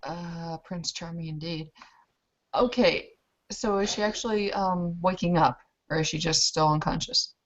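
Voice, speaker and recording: A woman's voice: on the low side, measured pace. A woman playing a tabletop roleplaying game, hesitantly describing her character in casual talk, on a muffled recording. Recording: dull, muffled lo-fi sound.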